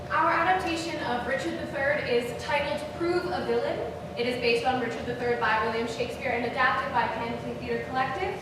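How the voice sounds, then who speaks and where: High-voiced woman narrating a staged reading. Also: steady tone, a little fast, few pauses. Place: live staged reading venue. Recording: very echoey hall, distant, noisy.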